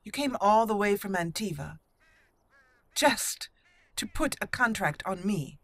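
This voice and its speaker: A woman hosting a roleplay let's play, voicing dialogue deliberately and theatrically and adding her own commentary. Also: mid-range voice, animated, a bit bright, dry recording. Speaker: gaming channel host.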